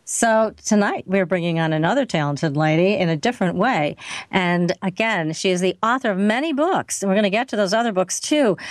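A woman's voice: on the low side, no echo. Enthusiastic woman hosting a radio talk show. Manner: enthusiastic, announcing upcoming guests.

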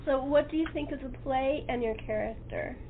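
A woman hosting a casual cast interview as the director, speaking in a halting way. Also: high voice. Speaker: host and radio play director. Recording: tinny phone-like line with noise.

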